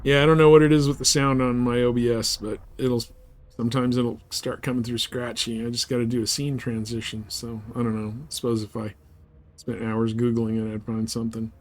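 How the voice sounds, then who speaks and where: A man's voice: medium pitch, little pitch movement, a little fast. Male, mid-range voice, livestream host, casual off-the-cuff gaming commentary.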